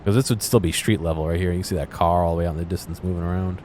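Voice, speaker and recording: Casting: male game commentator, low register, casual and musing, unscripted, some background noise. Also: steady tone, rapid-fire, a little mellow. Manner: musing, thinking aloud. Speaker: gameplay video host.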